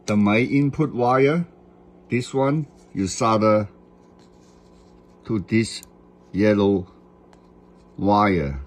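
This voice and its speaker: A man's voice: sits low, a little hiss or hum. A man giving a hands-on, unscripted step-by-step electronics tutorial, repeating short phrases for emphasis. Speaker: instructor demonstrating an electronics installation.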